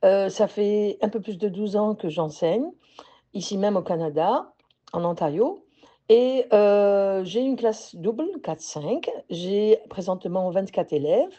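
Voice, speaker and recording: A woman teacher from Algeria with an Algerian accent, giving a measured personal message with hesitant pauses. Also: medium pitch, dark tone, lo-fi sound.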